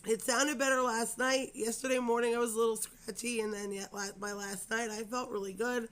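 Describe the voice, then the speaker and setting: A woman with a cold and a raspy, scratchy voice, hosting a craft channel in a rambling, chatty way and apologising often, full of fillers. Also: a little high, level tone, fairly quick, fairly bright tone. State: hoarse from a cold. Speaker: crochet channel host. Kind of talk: casual chat to camera.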